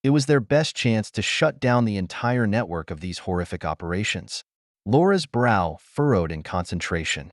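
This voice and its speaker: A man's voice: on the low side, sing-song, moderate pace, dry recording, studio quality. A man reading a science fiction adventure story as an audiobook narrator, in a dramatic, earnest manner.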